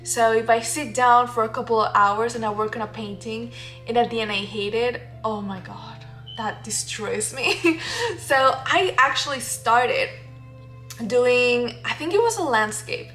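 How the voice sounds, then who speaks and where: A woman's voice: fairly high pitch, a little slow, a bit bright. A young woman from the Dominican Republic, talking candidly and laughing often as she shares her painting process in a casual vlog. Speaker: painting vlogger. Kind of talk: casual reflective vlog narration. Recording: light music behind.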